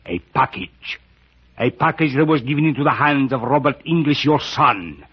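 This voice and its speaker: Male actor as smooth sinister high priest, mock-courteous and pompous, muffled vintage radio sound. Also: moderate pace, lightly acted. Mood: quietly menacing. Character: suave menacing Indian high priest, courteous and cryptic. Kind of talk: old-time radio drama acting.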